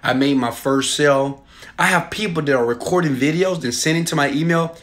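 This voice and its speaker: A man in his thirties talks straight into a phone held at arm's length in a small room at home. His voice is bright and forceful and sits a little high, and he pushes his pitch with preachy, emphatic swings between loud bursts and quiet asides. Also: fairly quick. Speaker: online marketing coach. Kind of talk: selfie video sales pitch.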